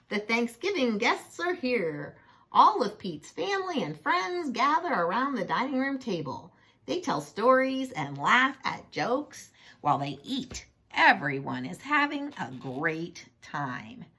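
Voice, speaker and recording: A woman tells a picture-book story to children at an unhurried pace. Her voice is husky and swings widely in pitch, and she is playful, often breaking off for chatty asides. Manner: playful, expressive, asks the listener questions.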